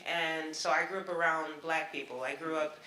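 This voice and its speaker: A woman's voice: low voice, steady tone, moderate pace, pushed and bright, roomy sound, a little hiss or hum, poor audio. A woman from Barbados, raised in Brooklyn, asking a rambling, chatty question from the audience and laughing often. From Barbados, raised in Brooklyn, New York. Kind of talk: casual question from the floor.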